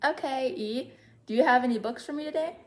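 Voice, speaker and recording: A woman's voice: high voice, fairly quick. A woman hosting a children's storytime, reading a storybook aloud with asides to young listeners.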